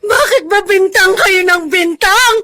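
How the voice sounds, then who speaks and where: A woman's voice: extremely high pitch, unhurried, sharp tone, no room sound, studio quality, full character voice. A woman playing a distraught, defiant teenage daughter in a radio drama, pleading and indignant. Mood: tearful, defiant.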